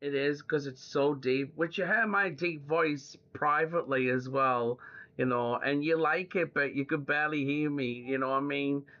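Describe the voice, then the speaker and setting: Rambling young man, bright tense voice. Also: on the high side, steady tone, moderate pace. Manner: rambling, full of fillers and "like". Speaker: podcast host. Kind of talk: casual chat. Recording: dull lo-fi audio.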